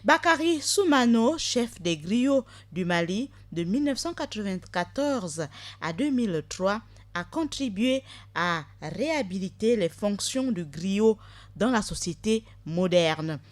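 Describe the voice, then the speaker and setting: A woman hosting a live radio show, talking straight to listeners in a lively way with quick asides. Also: medium pitch, no echo. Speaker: radio host.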